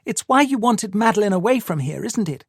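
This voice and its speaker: Done by a male narrator, a frantic young woman cries out in a voice pitched very high above his own, strained and tearful. Her words come thin and shrill with a changed, breathy timbre, and the reading sounds dry and close. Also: animated, a little fast, no room sound, full character voice. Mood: accusing. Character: distraught young woman, anguished and accusing. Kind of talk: audiobook dialogue.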